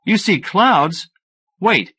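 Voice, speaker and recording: Excitable mad scientist, pitched high, acted by a man. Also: drawn-out words, slightly rough, full character voice. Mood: eager. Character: excitable male mad scientist, smug and eager, lecturing. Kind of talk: audiobook character dialogue.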